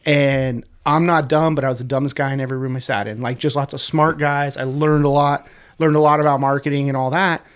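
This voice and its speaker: A man's voice: medium pitch, smooth. A man in his forties with a congested, sniffly voice, telling stories in a casual, profane podcast chat as a guest. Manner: fast, profane, animated storyteller. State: congested, sniffling from a sinus infection. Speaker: guest, website co-creator.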